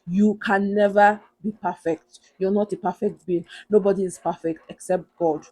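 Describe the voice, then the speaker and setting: A young woman with a Nigerian accent and a big, loud voice, earnestly giving motivational advice to camera and repeating her points for emphasis. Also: mid-range voice, steady tone, normal speed. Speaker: vlogger.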